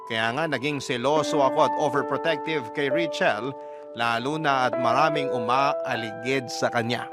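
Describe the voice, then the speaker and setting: A man reading a first-person love story as scripted radio-style narration. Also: a little high. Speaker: storyteller reading a listener's letter. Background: prominent music bed.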